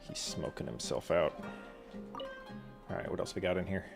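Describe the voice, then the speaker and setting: A man's voice: low voice, moderate pace, creaky, over music. A man giving casual, offhand game commentary with dry, sardonic quips. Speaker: gaming streamer.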